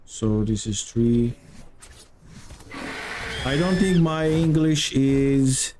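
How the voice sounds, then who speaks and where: A man from Turkey with a thick Turkish accent, chatting with viewers during a live game stream in halting non-native English. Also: mid-range voice, animated, darkish tone, slight background noise. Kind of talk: live stream chat while playing.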